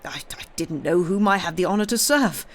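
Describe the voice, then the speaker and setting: A man's voice: high voice, animated, fairly quick. A man voicing a fawning, garrulous innkeeper who stammers and complains as he serves, in an audiobook. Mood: flustered, eager. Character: fawning, garrulous, self-pitying male French innkeeper.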